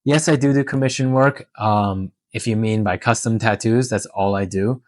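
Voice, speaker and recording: A man's voice: on the low side, moderate pace, darkish tone, no echo, studio quality. A man from Canada and Hong Kong talking candidly and informally in a question-and-answer vlog. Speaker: tattoo artist and vlogger.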